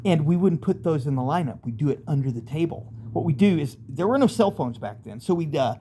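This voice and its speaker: A man's voice: fairly high pitch, dark tone, slight background noise. A middle-aged American man telling personal stories casually, in a rambling, candid way with street slang. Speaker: storyteller, channel host. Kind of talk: casual first-person storytelling monologue.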